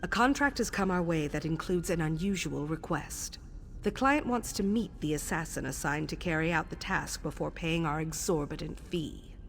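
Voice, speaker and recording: A woman voicing a composed, sardonic assassin guild superior in a video game, speaking in a measured, dryly wry, commanding way. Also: deep voice, slight background noise. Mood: measured, businesslike. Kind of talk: scripted video game quest dialogue.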